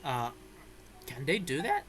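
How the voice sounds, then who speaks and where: A man's voice: mid-range voice, wildly swooping pitch, unhurried, fairly bright tone, a little hiss or hum. A man giving casual reaction commentary as he plays a video game.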